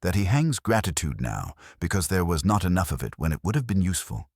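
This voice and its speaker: Man voicing an observant, deep-voiced junior policeman. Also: very animated, a little fast, a little mellow, no echo, clean studio sound, exaggerated voice. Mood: musing. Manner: understated, murmured observations.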